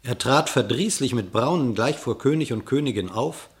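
A male narrator reads a classic verse fable for a radio play. He tells it with dramatic, storytelling expression, and his pitch rises and falls widely. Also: expressive, moderate pace.

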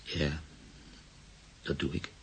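An actor playing a troubled male patient in a radio play, recalling frightening memories in halting, brooding fragments. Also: a little hiss or hum. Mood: brooding, haunted. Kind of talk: Dutch-language radio play acting.

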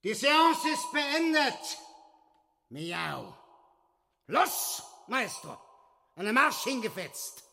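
An actor playing a brazen, rowdy talking tomcat in a radio drama, hissing and breaking into bawdy song. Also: male voice, extremely high pitch, sing-song, vocal fry, heavily acted. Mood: brazen, commanding. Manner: hissing, drawn-out bawdy singing. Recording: loud music over the voice.

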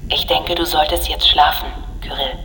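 A woman playing a gentle, insistent artificial intelligence in a radio drama, soft, measured and polite, in a reverberant recording. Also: sits low, steady tone, vocal fry. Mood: calm, insistent. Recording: reverberant hall, faint background noise.

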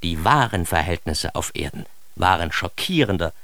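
A man's voice: deep voice, lively intonation, normal speed, vocal fry, sharp tone, full character voice. A man playing a neurotic, self-mocking narrator of his own childhood in a radio drama, in standard German with a wry, theatrical manner. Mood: bemused.

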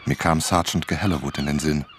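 A man's voice: extremely low pitch, fairly even intonation, brisk, slight background noise. An actor playing a determined novelist who narrates his own investigation in a scripted radio play. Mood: reflective, matter-of-fact. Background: music.

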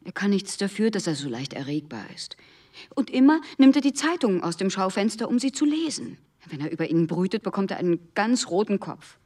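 A woman playing a practical, protective wife in a German radio play, matter-of-fact and firm, with a slightly husky voice. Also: mid-range voice, very animated, a little fast, a little mellow, far from the mic. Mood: protective, indignant.

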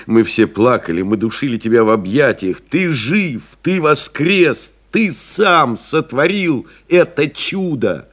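An actor playing a pilot who recalls a lost comrade, reading solemnly, expressively and in measured literary phrases. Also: male voice, medium pitch, animated, a bit bright. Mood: joyful, moved. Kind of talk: dramatic literary reading in an audio play. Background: music behind the voice.

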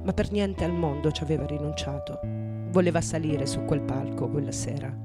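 Low-voiced grieving rock singer, played by a woman. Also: normal speed, loud music behind the voice, lightly acted. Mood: wistful, sorrowful. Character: grieving middle-aged female rock singer recalling lost loves. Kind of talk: dramatic radio theatre monologue over music.

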